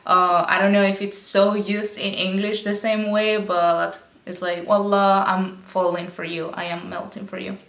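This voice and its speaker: A woman's voice: medium pitch, flat delivery, measured pace, few pauses, a bit bright, roomy sound. A woman teaching Arabic by explaining song lyrics line by line, patient and explanatory, often checking in with "okay?".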